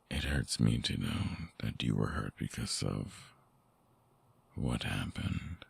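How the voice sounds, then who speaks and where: A man playing a brooding, tender, wounded vampire ex-lover in an intimate ASMR audio drama, husky and breathy, with a halting, hushed delivery close to the mic. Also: a bass voice, exaggerated voice. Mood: pained, hesitant. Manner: slow, halting, sighing, hushed confiding. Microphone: close binaural mic, dry sound.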